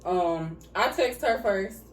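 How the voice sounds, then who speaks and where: A woman's voice: mid-range voice, slow pace. A blunt woman talks casually between bites and swears freely. Her voice rings in a roomy space, picked up from a little way off mic with a faint hiss underneath. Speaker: vlogger answering couple questions. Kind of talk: casual mukbang chat. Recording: echoey room, mic at a distance, faint hiss.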